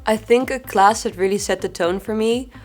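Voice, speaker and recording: A young woman from the Netherlands in her twenties, a graduate student speaking in a thoughtful, measured way in an interview testimonial. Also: mid-range voice, faint music behind.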